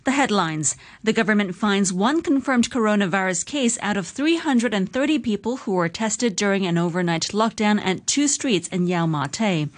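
A woman anchoring a radio news bulletin, reading the headlines in a measured, even newsreader delivery. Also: medium pitch.

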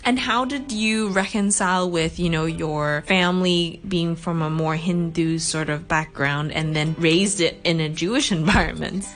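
A woman hosts a podcast in a slightly low voice, moving from a scripted opening to friendly, upbeat questions. Music plays clearly behind her words. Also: normal speed, hardly any pauses. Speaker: podcast host. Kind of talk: scripted intro and interview questions.

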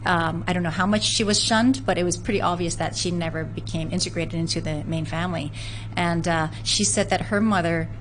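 A woman's voice: on the low side, fairly even intonation, fairly quick, slight background noise, rough recording. Earnest woman telling family stories. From San Francisco. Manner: earnest, storytelling, with frequent restarts. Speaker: interview guest, author researching family history. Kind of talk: conversational interview.

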